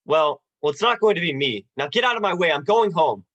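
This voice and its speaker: A man's voice: fairly high pitch, lively intonation, fairly quick, fairly bright tone, muffled recording. A man playing a short-tempered, desperate family man in a radio play, agitated and stammering. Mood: frantic, angry. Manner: agitated, stammering, repeating phrases. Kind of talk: radio drama acting.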